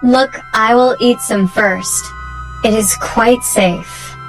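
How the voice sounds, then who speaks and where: A woman's voice: fairly high pitch, low-quality recording. A woman voicing a sly old woman selling apples, a disguised wicked queen in a storybook, coaxing as she goes. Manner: coaxing, drawn-out sales patter. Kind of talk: storybook character voice. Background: tinkling music.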